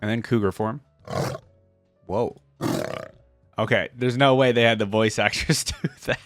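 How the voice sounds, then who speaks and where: A man's voice: mid-range voice, steady tone, a little slow, dry recording, faint music behind. A man hosting a reaction video, giving casual, chatty, easily amused commentary on game voice lines.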